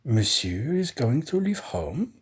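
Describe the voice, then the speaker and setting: A man's voice: medium pitch, very animated, takes it slowly, slightly rough, heavily acted. A man voicing a bewildered, flustered French manservant in a storybook reading, timid and astonished. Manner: astonished, muttering.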